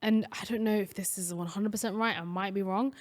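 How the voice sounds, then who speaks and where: A woman's voice: medium pitch, steady tone, brisk. A young woman from Somalia co-hosting a casual podcast chat, talking in a chatty way with many fillers and restarts. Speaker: podcast co-host.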